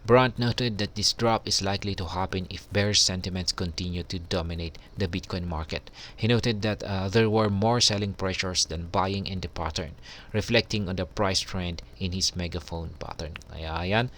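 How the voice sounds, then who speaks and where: A Filipino man with a low-pitched voice works through a news explainer. He is polite and somewhat halting, pausing on hesitations as he shifts between reading aloud and giving his own explanation. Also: fairly bright tone. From Philippines. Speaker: crypto news vlogger. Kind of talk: news explainer, alternating read-out article and commentary.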